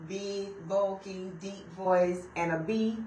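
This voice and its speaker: A woman's voice: medium pitch, steady tone, takes it slowly, darkish tone, reverberant, recorded from a distance, slight background noise, low-quality recording. A woman co-hosting a radio talk show, speaking casually with a sassy, emphatic manner.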